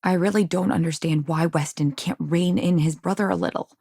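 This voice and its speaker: An ambitious frontier businessman's wife in a video game, her voice low and touched with gravelly creak. She sounds polished and earnest, chafing with exasperation at being held back. The recording is close and dry. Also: fairly even intonation, fairly quick, darkish tone, no room sound. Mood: frustrated. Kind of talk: video game dialogue acting.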